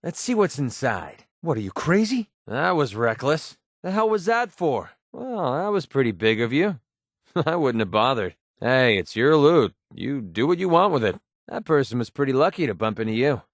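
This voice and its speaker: A man's voice: medium pitch, big pitch swings, normal speed, dry recording, clean studio sound. A man voicing a cocky, sardonic young mercenary gunman in clipped video game companion lines. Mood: wry, casual.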